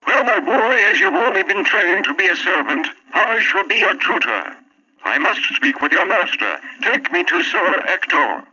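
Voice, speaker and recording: A husky old wizard tutor, pompous n bossy but kindly, real bright piercing voice, dull old 60s story record. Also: male voice, sits high, sing-song, moderate pace, heavily acted. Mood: genial, commanding. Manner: theatrical, pompous, emphatic. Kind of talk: 1960s children's story record acting.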